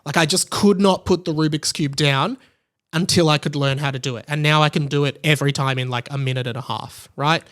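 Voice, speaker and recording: A man's voice: a little high, fairly even intonation, brisk, fairly bright tone. A man in his late twenties talking casually and animatedly on a solo podcast, rambling and self-deprecating. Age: late 20s. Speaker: podcast host.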